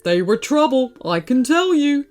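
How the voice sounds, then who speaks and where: A woman's voice: high voice, very animated, a little slow, darkish tone, soft background music, voice pushed up. A woman voicing an indignant, emphatic talking raven in a children's storybook. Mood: indignant, warning. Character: talking raven, indignant, scolding wild bird.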